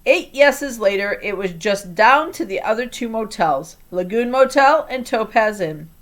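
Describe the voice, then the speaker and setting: A woman's voice: a little high, expressive, a little slow. A woman reading a children's novel aloud as a scripted first-person story. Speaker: audiobook reader.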